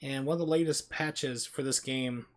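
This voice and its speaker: A man's voice: mid-range voice, steady tone, moderate pace. A man doing casual, wry live commentary while streaming a video game. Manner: wry, joking asides. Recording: clear background noise.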